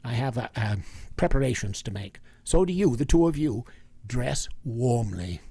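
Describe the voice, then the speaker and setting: A man acts out a solemn older professor in a radio horror play. His voice is husky and deeply creaky, heard close and dry, as he explains things methodically and takes his time. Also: lively intonation, warm tone, no echo. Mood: measured, commanding. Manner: deliberate, hesitant, lecturing. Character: grave, methodical older male professor hunting vampires. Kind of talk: vintage radio drama acting.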